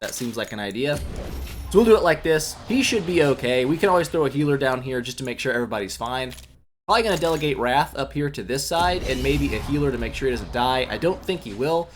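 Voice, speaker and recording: A young man talks casually over a mobile game, his voice a little stuffy from a cold. He rambles in a laid-back way, dropping frequent "um"s and poking fun at himself. His pitch sits in a plain middle range, with a faint hiss under the recording. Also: quick pace. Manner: relaxed, rambling, many "um"s, self-mocking asides. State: congested from a cold. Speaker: gaming YouTuber. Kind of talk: casual gameplay commentary.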